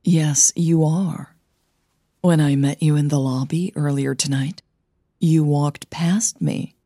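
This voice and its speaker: A woman voicing a composed, caring singing coach in an audio drama, reassuring and gently teasing as she probes. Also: sits low, steady tone, takes it slowly, dry recording, clean studio sound, exaggerated voice. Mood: caring, concerned.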